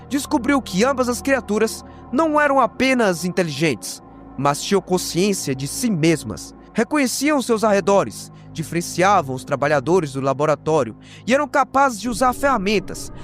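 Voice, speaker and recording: A man with a Brazilian accent narrating a horror recap dramatically and expressively, with slangy jokey asides. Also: high voice. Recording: voice over prominent background music. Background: music.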